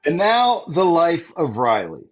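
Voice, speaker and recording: This is a dramatic old-time radio announcer, as a man plays him, declaiming with a swooping pitch. His voice is husky and a little high, and the recording sounds slightly muffled and lo-fi. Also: huge pitch swings, measured pace, fairly bright tone, soft background music, exaggerated voice. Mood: grandiose.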